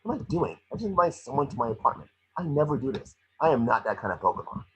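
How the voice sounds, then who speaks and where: A man playing a small, cocky, wisecracking mouse detective in a table read, with a rough-edged husky voice. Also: mid-range voice, big pitch swings, poor audio. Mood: wry, cocky. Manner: fast-talking wisecracking patter. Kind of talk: animated script table read.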